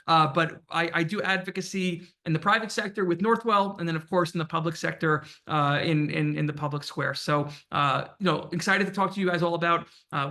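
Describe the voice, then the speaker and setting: Young man, bright forceful voice, slightly high, energetic, joking, panel talk. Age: young adult. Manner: energetic, self-deprecating humor. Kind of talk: panel introduction. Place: campus event room.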